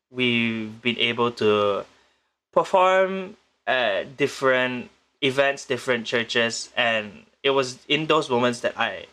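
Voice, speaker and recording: A young man from Penang, Malaysia, a guest musician answering interview questions in a measured, thoughtful way, often restarting his sentences. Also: mid-range voice, bright, forceful tone. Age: young adult. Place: remote location in the Philippines.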